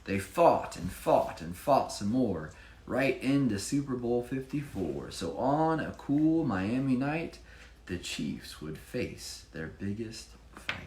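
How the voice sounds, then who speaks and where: Animated man reading a rhyming storybook aloud. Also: mid-range voice, takes it slowly. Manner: emphatic, explains to a child along the way. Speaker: children's author reading own book. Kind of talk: expressive rhyming picture-book reading with casual explanations. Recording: echoey room with faint background noise.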